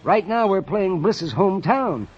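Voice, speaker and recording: High-pitched, fretful circus owner. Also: male voice, a little slow, slightly rough, heavily acted. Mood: hesitant, explaining. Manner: hesitant, fretful, excitable. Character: anxious, flustered male circus owner. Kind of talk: old-time radio detective drama acting. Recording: muffled old-time radio recording.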